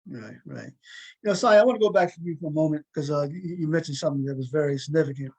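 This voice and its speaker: A man with a husky voice hosting a conversational interview, supportive and a little halting as he asks. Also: a little high, a little mellow. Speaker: talk show host.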